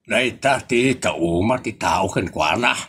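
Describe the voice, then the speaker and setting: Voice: low-pitched, animated, a little slow, fairly bright tone, slightly rough, full character voice. An actor playing a grumbling, impatient older Filipino man with an Ilocano accent in a comedy audio drama.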